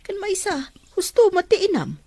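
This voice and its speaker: Woman as stern Filipina aunt, expressive delivery. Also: extremely high pitch, normal speed, dry recording, exaggerated voice. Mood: stern, concerned. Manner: admonishing, questioning. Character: stern, concerned aunt admonishing her niece. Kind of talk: emotive audio drama acting.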